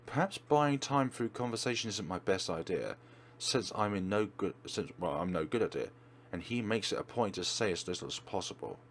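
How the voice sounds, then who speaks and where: Man reading a girl's narration, low voice, plain, some restarts. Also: expressive, moderate pace, slight background noise. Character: teenage girl narrator, average and nosy. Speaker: Let's Play reader. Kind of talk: reading game text aloud.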